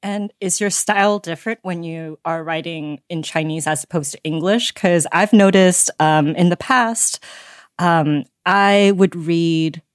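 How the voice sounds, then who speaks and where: A woman's voice: fairly low pitch, unhurried, no echo, clean studio sound. A woman podcast host asking curious, conversational questions, often with "like" fillers. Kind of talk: interview questions.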